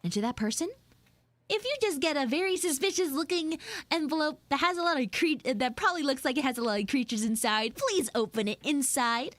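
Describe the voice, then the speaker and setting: Woman playing a high-voiced, pouty tsundere anime girl. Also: animated, fairly bright tone, no echo. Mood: mock outraged. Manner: defensive, pouty, halting and repetitive. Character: flustered, defensive tsundere anime schoolgirl, mean but secretly soft. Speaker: livestreamer and VTuber voice actress. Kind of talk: improvised live audio roleplay with chat asides.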